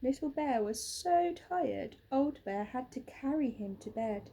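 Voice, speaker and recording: A young woman teacher reads a picture book aloud, slow and gentle. Her voice is high, mellow and a little husky. She sits in a small room close to the camera. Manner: gentle, expressive. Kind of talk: children's picture book reading.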